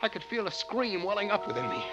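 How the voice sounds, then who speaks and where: An actor playing an earnest treasure-hunting diver who tells his eerie adventure with dramatic, deliberate 1940s radio suspense delivery. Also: high-pitched, very animated, muffled recording. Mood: alarmed, rising dread. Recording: old radio broadcast with loud music.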